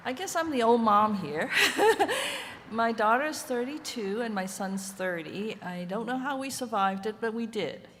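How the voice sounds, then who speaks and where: A woman's voice: fairly high pitch, expressive, unhurried, a bit bright. Older woman, from Hong Kong, native Chinese speaker, chatty panel talk, long hall reverb. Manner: candid, chatty, laughs easily. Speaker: ceramic artist presenting at a panel. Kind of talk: conference talk. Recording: reverberant hall with faint noise.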